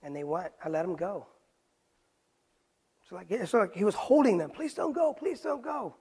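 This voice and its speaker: Earnest high-voiced man preaching. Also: animated, moderate pace. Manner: earnest, pleading, shifts between loud emphasis and soft quoted lines. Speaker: pastor. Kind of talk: sermon. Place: church stage, indoors.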